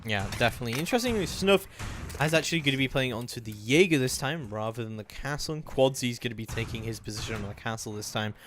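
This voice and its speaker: A man's voice: mid-range voice, animated, quick pace, a bit bright. Guy doing play-by-play for an esports game, some noise and game sounds behind him. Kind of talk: live video game match commentary.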